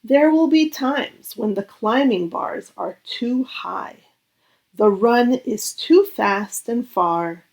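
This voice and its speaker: A woman reading a children's picture book aloud in a gentle, deliberate, expressive storytelling manner with dramatic pauses. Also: fairly high pitch.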